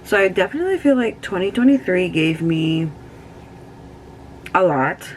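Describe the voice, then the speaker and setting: A woman's voice: lowish voice, expressive, normal speed, slight background noise. A woman in her twenties talking casually and candidly while doing her makeup, swearing freely and using lots of fillers. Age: 20s. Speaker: beauty vlogger. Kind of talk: casual get-ready-with-me chat.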